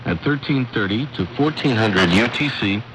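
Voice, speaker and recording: A man's voice: fairly low pitch, expressive, moderate pace. A man hosting a radio program, reading a scripted report over a shortwave broadcast. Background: radio static and noise.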